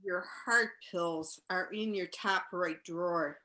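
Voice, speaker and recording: Woman as a bright-voiced, long-suffering wife. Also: fairly low pitch, slow. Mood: brisk, polite. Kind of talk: campy 1940s radio noir acting.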